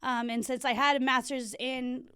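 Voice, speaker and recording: A woman's voice: high voice, flat delivery, normal speed, pushed and bright, clean tone, no echo, clean studio sound. A woman in her thirties from Texas, telling her career story as a podcast guest in casual chat with many fillers. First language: Spanish alongside English. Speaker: guest, library branch manager. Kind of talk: casual interview.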